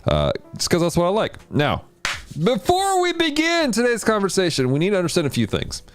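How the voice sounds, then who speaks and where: A man hosting a livestream of video games and Bible study, chatting casually with viewers and teaching in an animated way. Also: brisk, smooth. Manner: animated, jumps in pitch when greeting chat. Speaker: host of a gaming and Bible study stream.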